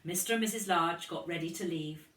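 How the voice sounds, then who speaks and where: A woman's voice: on the low side, brisk. A woman reading a children's storybook aloud expressively, in the manner of a kindergarten teacher. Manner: expressive, addressing young children. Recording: roomy echo, distant from microphone.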